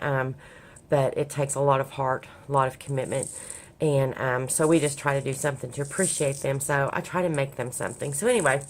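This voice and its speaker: A woman from Kentucky chatting casually in a crafting vlog, hoarse and raspy from a cold, rambling with many ums. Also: very deep voice. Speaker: craft vlogger. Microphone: overhead camera on a craft table.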